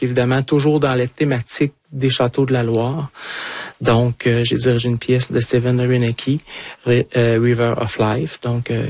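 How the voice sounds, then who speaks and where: A man's voice: medium pitch, darkish tone, no room sound. A middle-aged man with a Quebec French accent, answering in a radio interview as a trumpeter and conductor, hesitant with many fillers and restarts.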